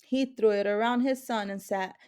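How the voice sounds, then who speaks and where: A woman's voice: medium pitch, steady tone, moderate pace, darkish tone, clear, resonant tone. A woman reading a children's picture book aloud as a storyteller. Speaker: story reader.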